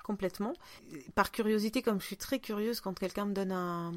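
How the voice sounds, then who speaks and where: A woman's voice: medium pitch, steady tone, brisk, dry recording. A woman chatting casually as a podcast host, often letting her thoughts trail off. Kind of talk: casual one-on-one chat.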